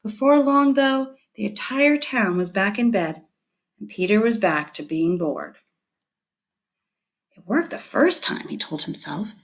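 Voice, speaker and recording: A woman teacher reading scripted educational material aloud in a clear, deliberate and expressive way. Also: mid-range voice.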